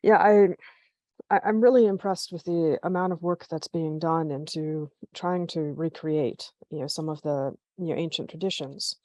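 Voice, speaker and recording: A woman's voice: low-pitched, moderate pace, darkish tone, no echo. A woman hosting a podcast interview, enthusiastic and appreciative, with many "you know" fillers. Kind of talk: conversational interview.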